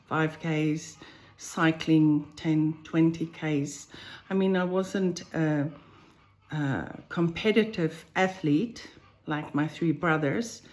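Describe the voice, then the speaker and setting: A woman with a South African accent, telling her own health story slowly and thoughtfully, with many pauses. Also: on the low side, darkish tone. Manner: slow, thoughtful, pausing between phrases. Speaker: vlogger sharing a personal health story. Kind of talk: personal first-person account to camera. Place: home on a farm, roosters crowing outside.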